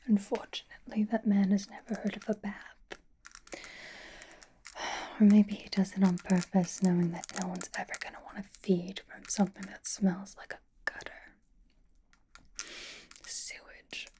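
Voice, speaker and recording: A woman voicing a possessive, haughty, flirtatious vampire in a breathy, intimate whisper for an ASMR roleplay. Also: medium pitch, level tone, warm tone, natural voice. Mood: disgusted. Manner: intimate, languid, disdainful, drawn-out phrasing with pauses.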